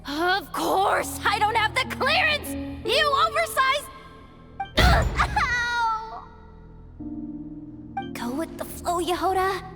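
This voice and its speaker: A high, bright-spirited young game heroine, scrappy and self-reliant. She mutters to herself theatrically at a measured pace, with music underneath. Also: sing-song, exaggerated voice. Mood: exasperated, indignant. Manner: exasperated, theatrical muttering and self pep talk. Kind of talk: animated video game voice acting.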